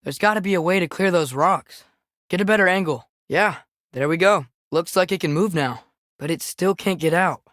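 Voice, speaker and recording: A man's voice: high voice, moderate pace, fairly bright tone, no room sound, clean studio sound. A young male actor voicing an earnest, compassionate teenage boy adventurer in a video game, with an American accent and a questioning, casual way of talking. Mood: uneasy, thoughtful. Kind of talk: naturalistic video game character acting.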